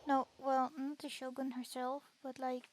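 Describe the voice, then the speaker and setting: A woman's voice: high-pitched, monotone, unhurried, darkish tone, a little hiss or hum. A young woman streaming a game, chatty and gushing, reacting over the dialogue. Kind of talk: casual reaction over gameplay.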